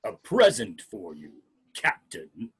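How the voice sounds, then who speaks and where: A man playing a cold, imperious alien warlord with a husky, sardonic delivery in a script read. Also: lowish voice, wildly swooping pitch, a bit bright, heavily acted. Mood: mocking. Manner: deliberate, sardonic villain delivery. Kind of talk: screenplay table read acting.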